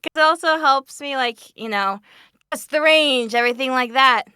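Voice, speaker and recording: Woman, bright and clear, playful casual panel chat, close dry sound. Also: sits high, moderate pace, no echo. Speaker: voice actor on a fan dub Q&A panel.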